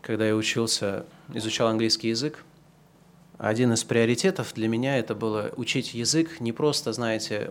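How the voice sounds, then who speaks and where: Male pastor, 30s, sermon delivery: slow emphatic build-ups, then easy conversational asides. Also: lowish voice. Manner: swings from slow, loud emphatic preaching to quieter conversational asides.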